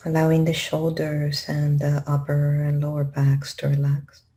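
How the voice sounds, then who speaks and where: A woman leading a guided meditation in a calm, gentle, unhurried manner with long pauses. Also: low voice. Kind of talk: guided meditation, slow spoken instructions.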